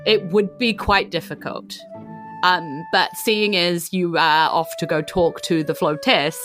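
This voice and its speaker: Kiwi lady game master, lowish voice, chatty explaining, music playing behind her. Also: fairly even intonation, measured pace, a bit bright. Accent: New Zealand. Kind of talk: casual explanatory game narration.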